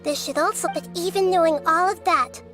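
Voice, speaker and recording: A woman voicing a wise little girl deity in a video game, speaking in a calm, measured, explanatory way. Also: very high voice, music in the background. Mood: composed, probing. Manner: measured, matter-of-fact, explanatory. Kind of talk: scripted video game voice acting.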